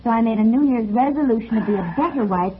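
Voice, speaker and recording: A woman's voice: fairly high pitch, fast, a little hiss or hum, close to an everyday voice. A woman playing a cheerful, doting housewife in an old-time radio family comedy. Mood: bright, coaxing. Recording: tinny, band-limited old radio recording.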